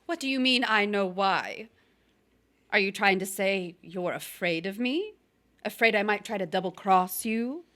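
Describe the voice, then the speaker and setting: An actress playing a steely, scheming young widow in a noir stage drama, speaking in a pointed, dramatic way. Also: female voice, mid-range voice, expressive, measured pace, fairly bright tone. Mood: cold, suspicious.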